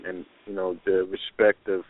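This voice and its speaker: A man's voice: low-pitched, level tone, normal speed. A man talking casually as a guest on a talk show over a phone line. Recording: narrow, phone-like call audio.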